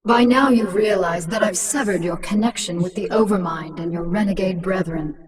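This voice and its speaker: A woman's voice: medium pitch, normal speed, far from the mic, crowd noise, natural voice. A woman voicing a ruthless, sardonic alien-hybrid queen in a video game, speaking with mocking, commanding menace. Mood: cold command. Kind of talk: scripted video game voice acting.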